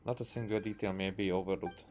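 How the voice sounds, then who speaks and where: A low-pitched man hosts a gaming video, speaking casually. He reads on-screen text haltingly and mutters short reactions, with game music playing behind him. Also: fairly even intonation, darkish tone. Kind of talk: casual gameplay commentary and reading game text aloud.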